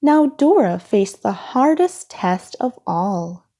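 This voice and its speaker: A woman reading a children's story aloud in an expressive, unhurried storytelling manner. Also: medium pitch. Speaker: storybook reader.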